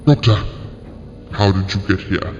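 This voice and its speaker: A man voicing a ruthless, proud demon villain in a fan audio drama, speaking slowly and gloatingly. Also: low-pitched, darkish tone, soft background music. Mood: menacing. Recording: echoey hall, muffled, noisy.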